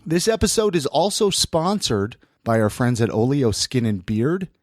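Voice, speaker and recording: An American man hosts a podcast with a lively, swinging delivery. He sounds a bit plugged up with a head cold. The recording is close and dry, and he talks casually, as if chatting between sponsor reads. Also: mid-range voice, normal speed, dry recording. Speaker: podcast host.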